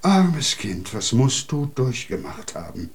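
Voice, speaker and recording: Kind soft-hearted guy from a kids radio play, soothing, pitying, voice swings up and down a lot. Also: mid-range voice, very animated, slow pace. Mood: pitying, indignant. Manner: soothing, indignant on the child's behalf. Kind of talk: radio play acting for children.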